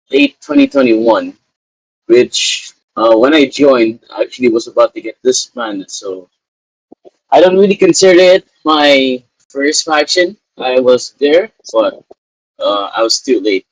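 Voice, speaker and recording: A young man from Canada chatting casually on a podcast in an animated way, often repeating his phrases. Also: mid-range voice, no room sound, rough recording. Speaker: podcast co-host. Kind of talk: casual chat.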